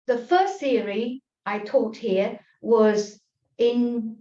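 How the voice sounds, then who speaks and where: A woman whose first language is Chinese, giving an earnest online tai chi lecture in English, measured, with frequent pauses and restarts. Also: fairly high pitch, dark tone. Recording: roomy, distant, dull lo-fi sound.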